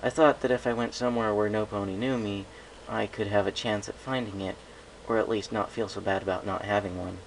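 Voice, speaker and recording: A man's voice: lowish voice, fairly even intonation, fairly quick, vocal fry, hardly any pauses. A man voicing a shy, polite young male pony who speaks hesitantly, in a story reading. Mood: nervous confessing. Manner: hesitant, soft-hearted. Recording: noisy home recording.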